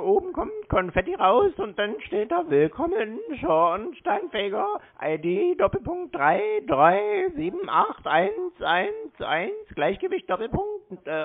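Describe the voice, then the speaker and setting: Male prank caller, hesitant and clueless, slow delivery, muffled phone sound. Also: extremely high pitch, vocal fry. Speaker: prank caller playing along with phone scammers. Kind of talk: unscripted prank phone call. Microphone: phone call. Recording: dull, lo-fi phone call audio.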